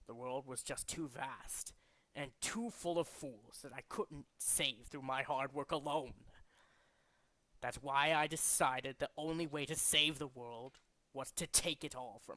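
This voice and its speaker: A man voicing a grandiose, zealous villain leader in a video game, speaking in a deliberate, pompous, theatrical way. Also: medium pitch, sharp tone, no echo. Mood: regretful, menacing. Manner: deliberate, dramatic, pompous declaiming. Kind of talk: theatrical video game character demo reel.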